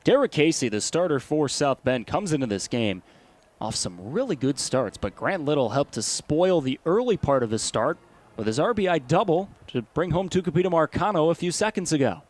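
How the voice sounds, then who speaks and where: American male baseball announcer, higher-pitched voice. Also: big pitch swings, normal speed. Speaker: baseball play-by-play broadcaster. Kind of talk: live baseball commentary.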